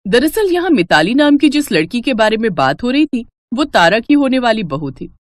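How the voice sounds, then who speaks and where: A woman narrating a moral story in a measured, even storytelling voice. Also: a little high, no room sound.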